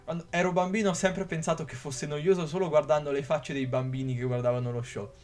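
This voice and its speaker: Higher-voiced young man reading a script. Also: steady tone, quick pace, a little mellow, faint music behind. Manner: reads from a script with occasional stumbles. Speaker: YouTube narrator. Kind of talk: scripted explainer narration.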